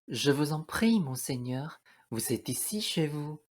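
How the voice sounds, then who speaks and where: Woman as imperious scheming mother, low husky voice, theatrical radio drama, vain. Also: animated, unhurried. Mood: fawning, sly. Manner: scolding and cursing at her daughters, obsequious to superiors. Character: domineering, vain, scheming mother, fawning before royalty. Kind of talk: theatrical radio play acting.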